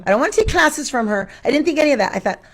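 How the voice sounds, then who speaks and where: A woman's voice: sits high, very fast. A woman answering interview questions as a guest, a schoolteacher who talks reflectively and expressively in a conversational way. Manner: reflective, expressive, quotes her own thoughts and asks rhetorical questions.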